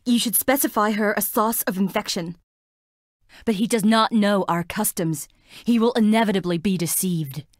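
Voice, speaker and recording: A woman's voice: medium pitch, normal speed, no room sound, studio quality, lightly acted. An actress playing a frail, melancholy young woman in a plague-stricken town, delivering dramatic video game lines in a wistful, languid, theatrical way. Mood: anxious, hopeful.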